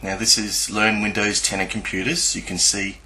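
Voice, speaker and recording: A man with a fairly low voice and a very sharp, forceful edge narrates a screen recording. He presents like an online video creator walking through evidence, with a somewhat halting, hesitant delivery. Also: level tone. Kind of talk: screen-recording commentary, partly scripted exposé.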